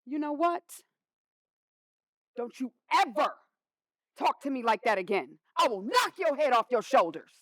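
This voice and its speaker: A woman's voice: sits high, big pitch swings, a little slow, long pauses, fairly bright tone, no room sound, clean studio sound, exaggerated voice. A woman playing a stern, proud mother in a stage play, with a commanding and pointed way of talking. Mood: angry, threatening. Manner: commanding, pointed, formally clipped.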